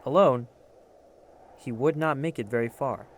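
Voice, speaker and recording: A man narrating a historical tale as a storyteller, with theatrical, measured delivery. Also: medium pitch, a little hiss or hum. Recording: music under the voice. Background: musical instruments playing.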